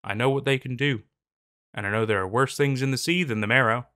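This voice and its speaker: A man's voice: medium pitch, brisk, bright, forceful tone. A young man narrating a horror story, then chatting casually with lots of fillers and restarts, recorded in a small room at a desk. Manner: rambling, hesitant with many fillers in commentary. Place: small room in front of green screen.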